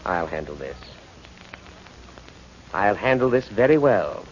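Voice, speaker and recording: An actor playing an impatient, bossy crime boss, curt and commanding, in the style of a 1940s radio crime drama. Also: male voice, low voice, sing-song, takes it slowly, breathy edge, full character voice. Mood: controlled, menacing. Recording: muffled, noisy 1940s radio broadcast.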